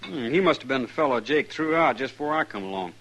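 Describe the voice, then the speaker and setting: Guy acting polite young cowboy drifter, folksy, bright voice, slightly noisy old radio show. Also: medium pitch, animated, fast, natural voice. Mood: polite, earnest. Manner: folksy, respectful. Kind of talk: 1950s radio western acting.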